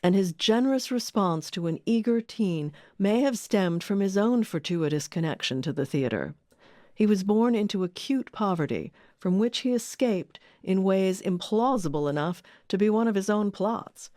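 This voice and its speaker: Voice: medium pitch, expressive, moderate pace, dark tone, no echo, clean studio sound. A documentary narrator reading a scripted history.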